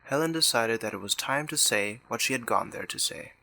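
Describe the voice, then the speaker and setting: A man narrates a scripted story in a bright, crisp-edged voice of medium pitch. The recording is dry, with no room sound. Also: expressive, brisk, no echo.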